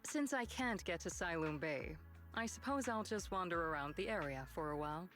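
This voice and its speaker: A woman's voice: lowish voice, lively intonation, moderate pace, fairly bright tone, faint music behind. A woman playing a composed, politely pointed young lady in a video game. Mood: politely displeased.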